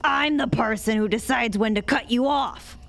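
A woman playing a wry, sharp-tongued bartender who spins a tale with teasing sarcasm in an audio drama. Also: fairly high pitch, moderate pace, vocal fry, soft background music. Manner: sardonic, teasing storyteller.

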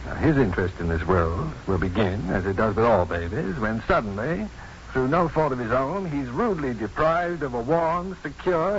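An actor playing a confident, tender doctor and husband in 1950s radio drama, teasing and measured in his delivery. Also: mid-range voice, close to an everyday voice. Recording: dull, faintly noisy 1950s radio broadcast.

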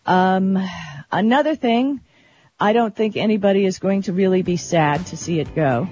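A woman's voice: medium pitch, normal speed, darkish tone, dull audio, faint music behind. A woman co-hosting an internet radio show, talking tech casually in an emphatic, opinionated way.

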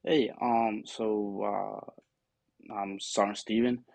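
Venezuelan man, guest, very deep and gravelly, halting answers, dry close sound. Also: little pitch movement, a little mellow, no room sound. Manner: hesitant, many fillers and restarts. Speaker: guest, army sergeant. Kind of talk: interview answers.

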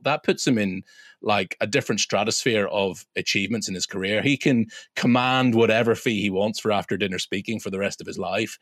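Blunt low-voiced man with emphatic delivery. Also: dry recording, studio quality. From Europe. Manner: emphatic and blunt, with casual swearing. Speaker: podcast co-host. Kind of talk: casual sports roundtable chat.

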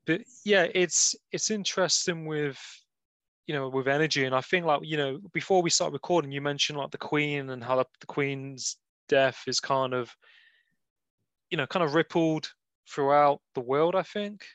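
A man's voice: a little high, moderate pace, a bit bright, no room sound. A man from the English Midlands with an English accent tinged with Liverpool, hosting a podcast in a casual, rambling, hesitant way. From Nottingham, English Midlands. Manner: rambling, hesitant phrasing with repeated filler phrases. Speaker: podcast host. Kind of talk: casual conversational interviewing. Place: online video call.

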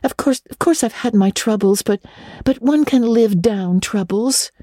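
A woman's voice: fairly high pitch, measured pace, dark tone, dry recording, studio quality. A woman voicing an earnest, romantic young girl in a dramatic storybook reading, gushing and breathless, tripping over eager repetitions. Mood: fervent, wistful. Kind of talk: dramatic audiobook reading of classic children's literature.

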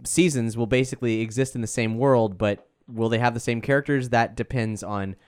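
Male podcast host, middle pitch, conversational delivery. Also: steady tone, brisk, clean tone. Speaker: podcast host leading the discussion. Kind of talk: conversational hosting.

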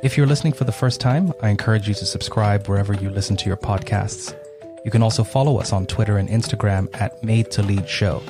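A man's voice: lowish voice, fairly even intonation, fast, music in the background. A man hosting a podcast who reads a scripted intro, then chats upbeat with many fillers. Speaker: podcast host.

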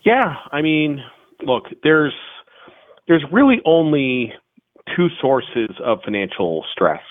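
A man's voice: on the high side, big pitch swings, slow, no echo. Man on a tinny phone line. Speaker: guest, financial expert. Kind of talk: interview answers.

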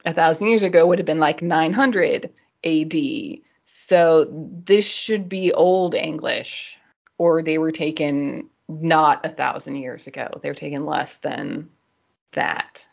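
A slightly muffled recording of a woman with a lowish voice, hosting a casual talk show. She explains things in a nerdy, digressive way with a giggly streak. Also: slow pace, vocal fry. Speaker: podcast host explaining language history.

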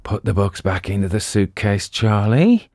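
A man voicing a brash, fast-talking book pitchman and con artist in an audiobook story, full of bombastic patter. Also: expressive, darkish tone, no room sound, studio quality. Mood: resigned, calculating.

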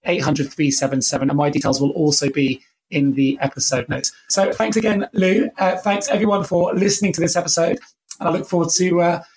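A man hosting a podcast interview, chatty and friendly, his voice a little croaky from a cold. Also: a little high, fairly even intonation, brisk, low-quality recording. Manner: friendly, chatty, filler-heavy. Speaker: podcast host.

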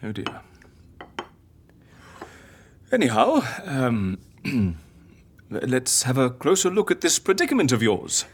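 A woman's voice: extremely low pitch, very animated, a little slow, breathy edge, heavily acted. An actress in an audio drama playing a fussy, eccentric, sceptical woman who reassures with hesitant patience and dry wit. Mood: coaxing, fussy.

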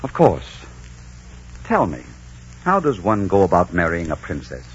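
An actor playing a commanding, ardent Spanish conquistador who also narrates, in a dramatic 1940s radio adventure style. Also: male voice, sits low, huge pitch swings, a little slow, a little hiss or hum, exaggerated voice. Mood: tender. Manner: dramatic, authoritative. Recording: dull 1940s radio recording, music behind.

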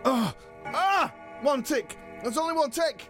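An actor playing a cocky, food-loving, washed-up wrestling champion in an audio comedy, boastful and evasive with deadpan jokes. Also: male voice, fairly high pitch, normal speed, over music, lightly acted. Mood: flustered. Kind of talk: comedy audio drama dialogue.

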